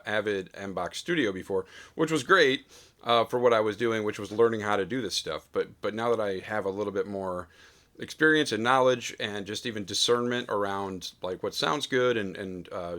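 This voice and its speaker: A man recording a casual vlog in his home studio, talking into a studio mic with a big, loud voice and rambling with lots of fillers. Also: lowish voice. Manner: rambling, self-deprecating, full of fillers. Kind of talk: casual vlog talk between sung takes. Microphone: studio dynamic mic.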